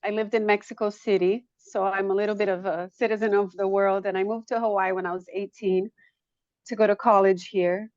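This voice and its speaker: A woman's voice: mid-range voice, flat delivery, brisk, darkish tone, no room sound, muffled recording. A woman from Brazil answering casually as an interview guest, matter-of-fact and repeating phrases for emphasis. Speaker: guest, yoga studio owner.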